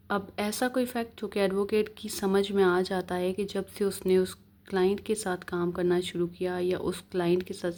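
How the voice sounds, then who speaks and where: Pakistani lady teaching law, lowish voice, pretty flat, mixes urdu and english as she explains. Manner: explanatory, switches between Urdu and English, repeats confirming tag phrases. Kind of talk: lecture reading out English legal text and explaining it in Urdu.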